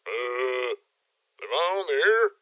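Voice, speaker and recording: A man playing a confused, hesitant caller to a talk radio show, speaking haltingly in a comedy sketch. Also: mid-range voice, big pitch swings, a bit bright, no echo, clean studio sound.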